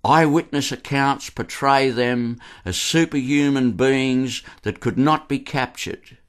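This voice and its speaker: A man with an Australian accent reading a scripted historical narration for radio in a measured storytelling manner. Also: medium pitch, clear, resonant tone.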